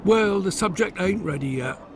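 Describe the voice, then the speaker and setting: An actor playing a gruff, suspicious Victorian underworld dealer in a video game, with a working-class English accent and rough, blunt speech. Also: male voice, high voice, lively intonation, normal speed, background noise. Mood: grudging.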